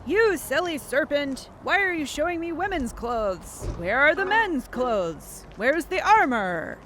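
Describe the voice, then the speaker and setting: A woman's voice: high-pitched, sing-song, measured pace, a bit bright, a little hiss or hum, heavily acted. A woman playing a bold, clever young warrior girl in a children's fairy tale. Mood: scolding.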